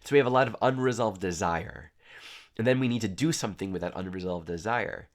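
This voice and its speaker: A man with a congested, nasal voice giving a calm, earnest spiritual astrology talk. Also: on the low side, brisk. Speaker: astrology teacher. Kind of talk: reflective spiritual talk to camera.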